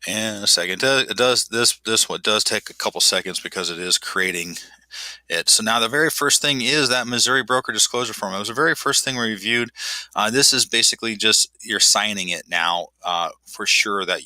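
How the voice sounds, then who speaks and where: A man's voice: lowish voice, a little fast, bright, forceful tone, no echo. A man with a nasal voice, a property manager talking a client through a screen walkthrough in a casual, self-deprecating way, with many fillers and restarts.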